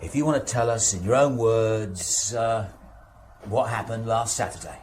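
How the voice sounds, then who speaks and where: An actor playing a police inspector in a radio drama, questioning with false patience in a deliberate, repetitive, probing way. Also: male voice, fairly low pitch, a little mellow, a little hiss or hum, close to an everyday voice. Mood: coaxing, insistent. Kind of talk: naturalistic radio drama acting.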